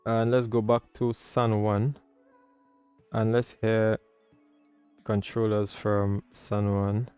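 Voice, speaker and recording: A man's voice: fairly low pitch, flat delivery, slow pace, long pauses, dark tone, smooth, no room sound, soft background music. A man hosting a livestream, talking casually as he comments and explains as a learner. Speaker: livestream host learning air traffic control.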